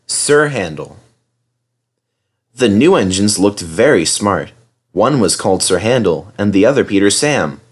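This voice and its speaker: Male storybook reader, lowish voice, lively and varied intonation. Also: unhurried, husky. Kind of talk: expressive narration of a children's story.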